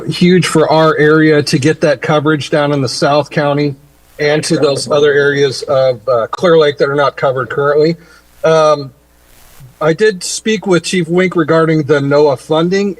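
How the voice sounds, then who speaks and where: Higher-voiced man giving official meeting comments. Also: low-quality recording. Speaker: chief advising county board. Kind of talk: spoken comments at a public meeting.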